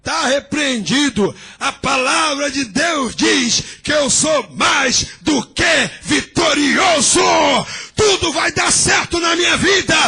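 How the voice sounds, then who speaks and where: A middle-aged male pastor preaching a fiery sermon in a church, shouting and chanting in a sing-song way as he calls on the congregation. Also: very high voice, animated, takes it slowly, soft background music.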